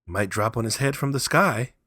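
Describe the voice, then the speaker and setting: A man's voice: mid-range voice, moderate pace, pushed and bright, no room sound, studio quality, pitched above the performer's own voice. A man voicing a frivolous, mischievous grown son in a dramatic storybook reading. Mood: breezy teasing.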